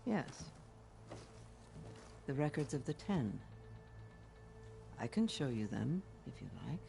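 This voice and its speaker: Slow solemn war chaplain, steadfast speechmaker type, higher voice, game cutscene with loud music. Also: male voice, big pitch swings, creaky, heavily acted. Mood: calm, welcoming. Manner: measured, solemn, oratorical. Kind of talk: dramatic video game acting.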